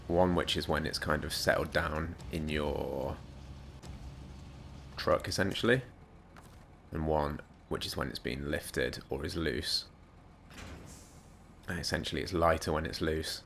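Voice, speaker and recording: A man with a slightly croaky voice, giving rambling, self-mocking gameplay commentary. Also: very deep voice, fairly bright tone, slight background noise. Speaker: gaming YouTuber.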